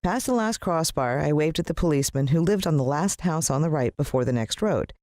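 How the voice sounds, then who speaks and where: An American woman narrating a children's story in an expressive, dramatic storytelling manner. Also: low voice, fairly quick, no room sound, studio quality. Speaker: audiobook narrator.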